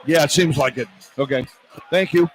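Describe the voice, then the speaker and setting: A man's voice: a little high, normal speed, low-quality recording. A man working as a play-by-play radio broadcaster, talking casually and hosting sports coverage on a headset mic. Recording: music bleeding in behind voice. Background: clear music in background.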